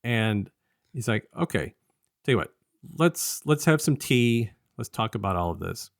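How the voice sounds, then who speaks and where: A man's voice: fairly low pitch, animated, a little slow, gravelly, dry recording, clean studio sound. A man co-hosting a review podcast, casually retelling a story in a rambling way and slipping into paraphrased dialogue. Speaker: podcast co-host reviewing an audio drama. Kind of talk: casual conversational story recap.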